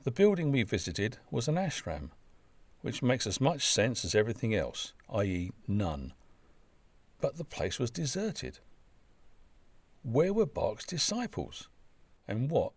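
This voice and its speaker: A man reading a scripted humorous travel story in a wry, droll manner with deadpan asides. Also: mid-range voice, unhurried, no echo.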